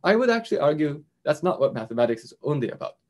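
A man in his thirties giving an animated online keynote lecture over a video call, explaining step by step. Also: fairly high pitch, quick pace. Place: indoors in front of a projected slide screen.

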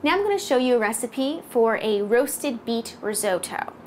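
An American woman in her thirties presents a recipe in a home kitchen. Her voice is high and moves melodically up and down as she explains each step. There is clear background noise behind her lapel-miked speech. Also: lively intonation, moderate pace, a little mellow. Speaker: cooking host, food blogger. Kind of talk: recipe demonstration to camera.

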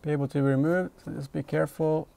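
A man in his thirties giving casual, halting hands-on commentary as a vlog host, thinking aloud while he works. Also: a little high, fairly even intonation, creaky, dark tone. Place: inside a car in a workshop.

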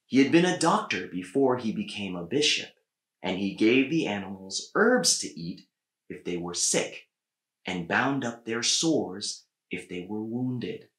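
A man in his thirties reading a children's story aloud with measured, deliberate phrasing and pauses. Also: fairly low pitch, very animated, fairly bright tone. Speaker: storybook reader. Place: small indoor room with bookshelves. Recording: echoey room.

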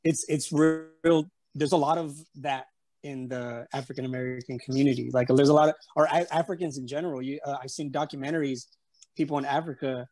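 A man's voice: medium pitch, fairly even intonation, fairly quick, darkish tone. A man from San Antonio with a slight Spanish-tinged Texan accent, telling a story as an interview guest over a video call, rambling with lots of fillers and restarts. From San Antonio, Texas. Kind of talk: casual storytelling chat. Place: room at home. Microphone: webcam on a video call.

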